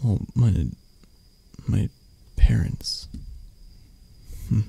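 A man playing an arrogant, prickly CEO boss who turns vulnerable and flirty, in an intimate, halting ASMR roleplay that often drops toward a whisper. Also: on the low side, gravelly, warm tone, breathy edge, full character voice. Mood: bitter, vulnerable.

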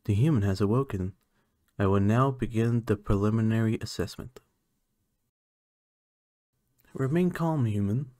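A man playing an aloof, condescending alien scientist in an ASMR roleplay, speaking in a clinical, measured, haughty manner. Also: lowish voice, a little mellow, dry recording, clean studio sound, natural voice. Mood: clinical, calm. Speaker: voice actor.

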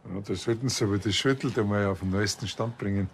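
An actor playing a cheeky, slightly naive police officer with a Bavarian accent in a TV crime comedy. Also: male voice, fairly low pitch, quick pace, slight background noise, lightly acted. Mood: nosy, familiar.

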